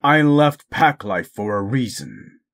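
Very deep, gravelly jaded werewolf played by a man. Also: big pitch swings, slow, fairly bright tone, heavily acted. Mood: curt, bitter. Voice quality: gruff, gravelly. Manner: curt, sardonic. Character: jaded, gruff reclusive male werewolf. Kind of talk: audio roleplay drama acting.

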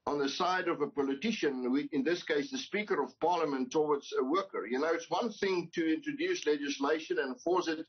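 Older man, husky voice, fairly high, hesitant restarts, dull lo-fi video call sound, home study. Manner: hesitant, many restarts, emphatic. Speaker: employers' association representative. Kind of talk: news interview on video call.

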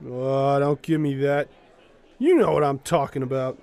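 An actor playing a brash, gossipy nightclub wisecracker in a radio drama, needling in manner. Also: male voice, medium pitch, a little slow, darkish tone, close to an everyday voice. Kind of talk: comic radio drama acting.

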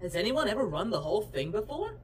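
A woman's voice: mid-range voice, very animated, fast, a crowd in the background, natural voice. A woman voicing a cheerful, naive male martial-arts hero in a breezy, simple-minded way for a cartoon parody.

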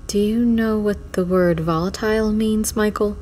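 An actress playing a composed, weary homicide detective in an audio drama, measured, formal and persistent. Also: female voice, medium pitch, fairly even intonation, warm tone, close to an everyday voice. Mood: calm.

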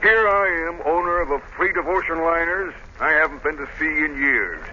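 An actor playing a hearty, genial shipping magnate in 1930s radio drama style. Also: male voice, on the high side, expressive, normal speed, sharp tone. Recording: dull, noisy 1930s radio recording.

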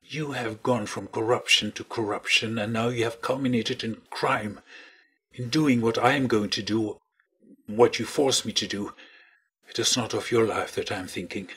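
A man voicing a bitter gentleman scientist who speaks coldly and with contempt. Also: on the low side, moderate pace, breathy edge. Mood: cold, scornful. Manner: cold, contemptuous.

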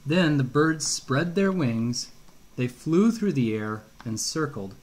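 A middle-aged man reading a storybook to a young child, expressively, stopping to ask questions. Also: on the high side. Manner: unhurried, expressive, asks questions as he reads. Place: home kitchen.

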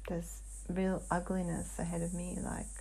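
A woman describing a trance vision in a dreamlike way as a guided hypnosis client, halting and hushed, with long pauses. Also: on the low side, completely flat delivery, creaky, husky, rough recording.

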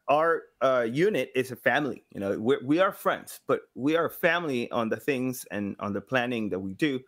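A man in his thirties chatting casually and expressively as an interview guest, often acting out little imagined exchanges. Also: medium pitch. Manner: expressive, acts out imagined quotes. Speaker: podcast guest, engagement director and sound designer. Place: home room, indoors.

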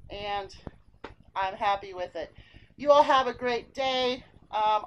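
A woman's voice: a little high, slight background noise, poor audio. A woman, chatting upbeat through a grocery haul at home. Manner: chatty, upbeat, talks through prices and coupons. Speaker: vlogger showing a grocery haul. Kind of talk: casual chat. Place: home, indoors.

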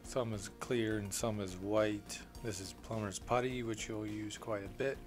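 A man with a fairly low voice talks through his plumbing tools off camera, recorded on a handheld camera indoors. He speaks plainly and without hurry, often pausing on "uh". Faint music sits under his voice. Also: fairly even intonation. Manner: plain, unhurried, with frequent "uh" fillers. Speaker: plumbing contractor. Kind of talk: off-camera tool walkthrough.

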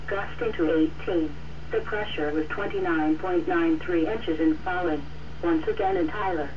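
A synthetic female announcer reads local weather conditions in a scripted, automated way. The voice comes through dull and distant from a radio receiver, with clear noise over it. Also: fairly low pitch. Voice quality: synthetic text-to-speech. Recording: muffled, noisy radio receiver captured off-air.

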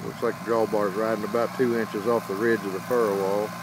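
A man, narrating casually in a folksy, unhurried way while filming his tractor on a small farm field with a handheld phone. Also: on the low side, level tone. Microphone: handheld phone camera. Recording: outdoor phone audio with tractor engine noise.

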